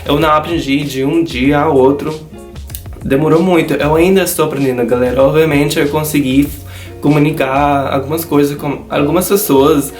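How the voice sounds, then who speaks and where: A man's voice: a little high, steady tone, normal speed, darkish tone. A young man from the United States with an American accent, speaking Portuguese as a second language in a chatty vlog to his viewers. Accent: American English. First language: English. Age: young adult. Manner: chatty, keeps addressing viewers as "galera". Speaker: youtuber. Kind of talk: casual vlog talk to camera. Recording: echoey room with faint guitar music.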